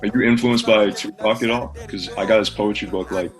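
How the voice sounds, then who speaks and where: Male host, very deep voice, flat delivery, faint music underneath. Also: a little fast. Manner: relaxed, conversational questions. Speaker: interviewer host. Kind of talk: casual interview.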